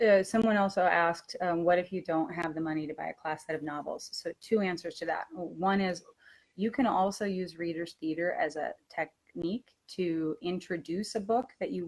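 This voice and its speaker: Lower-pitched woman explaining teaching methods. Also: darkish tone. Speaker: language teacher and workshop presenter. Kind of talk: conversational teacher-training webinar.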